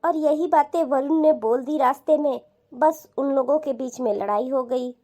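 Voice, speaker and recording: A woman's voice: sits high, moderate pace, dark tone, close to an everyday voice. A woman voicing a scornful, bossy middle-aged mother-in-law with a mocking, dismissive way of talking in a cartoon family drama. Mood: dismissive gossip. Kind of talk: animated family drama dialogue.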